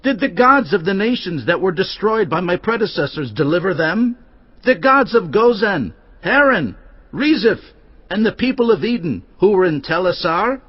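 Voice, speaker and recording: A man's voice: sits high, big pitch swings, normal speed, highs cut off. A man playing a menacing, boastful Assyrian envoy in a dramatised audio Bible, declaiming and taunting.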